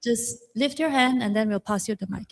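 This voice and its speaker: A fairly high-voiced woman moderates the event. She gives polite, brisk announcements and invites questions. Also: gravelly.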